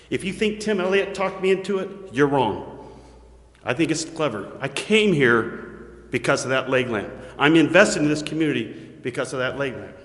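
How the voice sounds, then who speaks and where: In a reverberant, echoey chamber, a man gives public comment at a civic meeting. His voice sits at a middle pitch. He is blunt and indignant, driving points home in short, emphatic statements. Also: a bit bright. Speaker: citizen commenter and local investor at a council meeting. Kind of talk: unscripted public comment speech. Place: council chambers, indoors.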